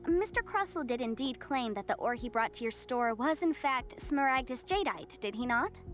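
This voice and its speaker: A woman's voice: high-pitched, lively intonation, moderate pace, slight background noise. An actress playing a confident young female legal adviser in a video game, businesslike, articulate and courteous. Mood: formal, assured.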